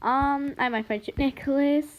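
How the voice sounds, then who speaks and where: A young woman with a very high voice chats casually over a game she is playing. She is excitable and giggly, slipping into mock-dramatic roleplay delivery. The home recording has clear background noise. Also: normal speed, muffled recording. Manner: talks while eating and sucking ice. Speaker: gaming video host. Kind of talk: casual playful gameplay commentary with roleplay.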